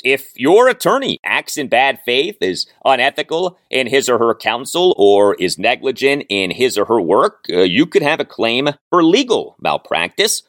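A male sports podcast host with a very lively, swinging delivery. He speaks emphatically and deliberately in a mid-range voice, recorded close and dry with no room sound. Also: medium pitch, dry recording. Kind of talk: sports commentary monologue with sponsor read.

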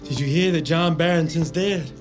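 A man's voice: on the high side, normal speed, close to an everyday voice. An actor playing an earnest, loyal freed man of the 1860s South in an audio drama. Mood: anxious, hopeful. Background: music.

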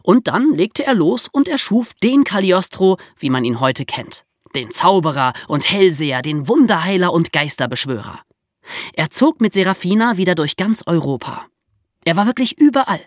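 A woman's voice: on the low side, very animated, moderate pace, dry recording, clean studio sound. A woman hosting a history podcast, telling the story in an explanatory, emphatic way. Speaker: podcast host.

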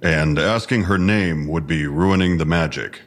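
Low-voiced, clipped police detective. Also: male voice, measured pace. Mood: dry, sarcastic. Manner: formal, clipped questioning. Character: stern male police detective, dry and sarcastic interviewer. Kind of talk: audio drama acting, recorded interview.

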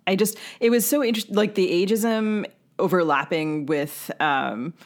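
A woman co-hosting a conversational interview podcast, thinking aloud with halting, restarted sentences. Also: fairly low pitch, steady tone. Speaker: podcast co-host.

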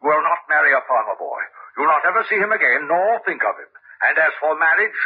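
A man's voice: a little high, sing-song, fast. An actor playing a stern, domineering, snobbish middle-aged father in an old-time radio drama, emphatic and commanding. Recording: dull, raspy old radio recording.

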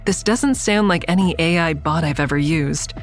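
A woman's voice: low-pitched, moderate pace, soft background music, close to an everyday voice. A woman playing a wary, dry-witted investigator who narrates her own story in an audio drama, guarded and understated. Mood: uneasy, reflective. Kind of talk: first-person audio drama narration and dialogue.

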